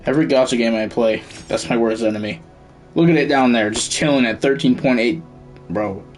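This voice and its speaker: A man's voice: mid-range voice, a little fast, faint music behind. A man streaming a video game, giving casual commentary and muttering to himself as he thinks aloud. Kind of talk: casual live gameplay commentary.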